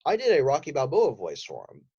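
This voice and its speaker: A man telling stories casually as a podcast guest and voice actor, animated in manner. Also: medium pitch, a little fast, breathy edge, highs cut off. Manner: animated, acts out quotes. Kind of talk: casual interview storytelling.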